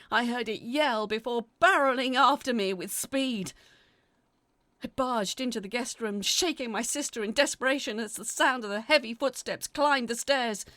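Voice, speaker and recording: A woman reading a scripted first-person horror story in a theatrical, expressive way, as its dramatic narrator. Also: on the high side, gravelly, no room sound.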